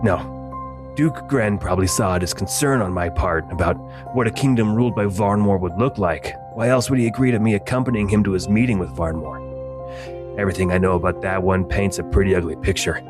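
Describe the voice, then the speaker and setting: Fretful noble second-guessing himself, a man playing it; low register, scored with music. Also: natural voice. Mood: anxious. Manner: fretful inner monologue, rapid self-questioning. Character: anxious young male noble overthinking his choices. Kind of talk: character inner monologue in a tabletop game.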